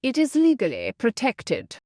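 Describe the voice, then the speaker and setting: A woman's voice: a little high, wildly swooping pitch, a little slow, mellow, no room sound, clean studio sound. A woman reading a formal practice passage aloud with careful enunciation.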